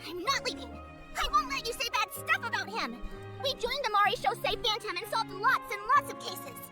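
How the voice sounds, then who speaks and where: A woman's voice: extremely high pitch, expressive, brisk. A woman voicing a small, sweet, earnest female fantasy creature with a childlike manner in a video game. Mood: hurt, defiant. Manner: earnest, pleading. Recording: loud music over the voice.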